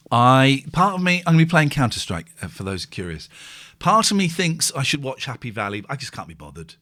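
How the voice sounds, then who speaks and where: A man nearing fifty chats loosely on a live stream, his pitch swinging widely from low mutters to sudden high bursts. He rambles, repeats himself and pokes fun at himself while reading viewers' comments aloud. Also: very animated, fairly quick, pushed and bright. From England. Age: 40s. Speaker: host. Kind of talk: casual live-stream chat.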